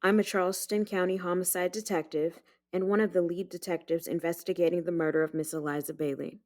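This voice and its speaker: A woman's voice: on the low side, fairly even intonation, fast, a little mellow, lightly acted. A woman playing a matter-of-fact homicide detective testifying in a scripted courtroom drama, plain and official in delivery.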